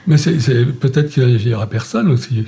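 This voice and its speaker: A man chatting casually as a guest, talking haltingly and repeating words. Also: mid-range voice, vocal fry, dark tone, breathy edge. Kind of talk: casual group chat.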